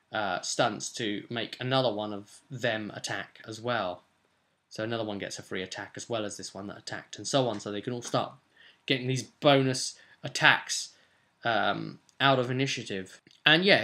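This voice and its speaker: A man's voice: mid-range voice, pushed and bright. A man in his twenties talking informally and off the cuff, recapping a tabletop role-playing game in a rambling, conversational way at his desk. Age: 20s. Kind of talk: casual unscripted game session recap. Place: small furnished room at home.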